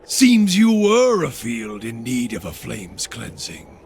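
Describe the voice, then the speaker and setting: Grim fantasy game zealot: slow gravelly preaching that swings widely in pitch. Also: male voice, wildly swooping pitch, slight background noise, full character voice. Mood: bitter, solemn. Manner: slow, theatrical, preacher-like pronouncements. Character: grim, zealous, embittered wandering priest of fire. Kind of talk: video game character acting.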